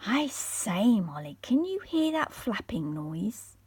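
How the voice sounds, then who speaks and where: A woman's voice: mid-range voice, big pitch swings, slow, darkish tone, husky, dry recording, exaggerated voice. A woman reading the part of an earnest young boy in a children's storybook. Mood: excited.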